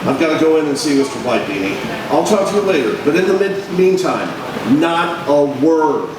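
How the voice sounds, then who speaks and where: A man playing a calm, probing newspaper reporter in old-time radio drama style, with measured, earnest questioning. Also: a little high. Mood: urgent, earnest. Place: live stage recreation at a festival. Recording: echoey hall, distant mic, noisy.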